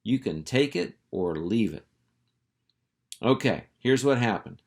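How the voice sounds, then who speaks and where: A man reading a children's storybook aloud in an animated, folksy, expressive storyteller's manner. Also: fairly low pitch, takes it slowly. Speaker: children's book reader, school superintendent.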